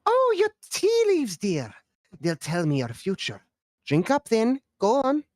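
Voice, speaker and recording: A woman's voice: fairly high pitch, huge pitch swings, measured pace, a little mellow, no room sound, studio quality. A woman playing a dotty, bossy elderly former actress in a screenplay table read, theatrical and scolding. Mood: brisk, hospitable.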